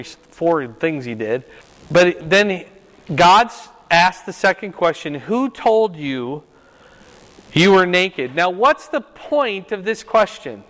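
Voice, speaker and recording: An older man with a loud, fairly high voice leads a Bible class, firing questions at his group and repeating them until someone answers. He speaks very slowly and deliberately. The sound carries a long reverb from the room. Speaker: Bible study teacher. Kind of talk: interactive Bible class, quizzing listeners.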